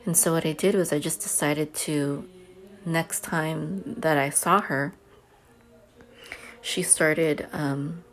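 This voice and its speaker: A woman's voice: low-pitched, steady tone, slow, pauses often. A homeschooling mother telling a personal story to camera in a chatty, earnest way. She says she has a big voice.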